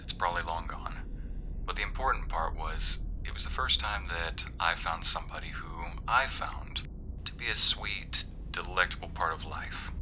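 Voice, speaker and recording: Male actor as affectionate, teasing waiter boyfriend for ASMR roleplay; low, hushed, breathy delivery, noticeable ambient noise. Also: lightly acted. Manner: soft, breathy, near-whispered, affectionate. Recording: clearly audible background noise, ambience.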